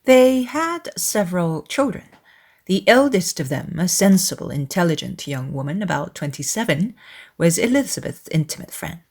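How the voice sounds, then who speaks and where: A woman's voice: fairly low pitch, big pitch swings, moderate pace, a little mellow. A woman reading a classic novel aloud as an audiobook narrator, in a scripted literary storytelling style.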